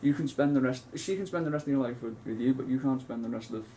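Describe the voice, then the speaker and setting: A man's voice: medium pitch, fast, dark tone, a little hiss or hum. A man, co-hosting a casual film chat, rambling with many restarts and asides. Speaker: co-host.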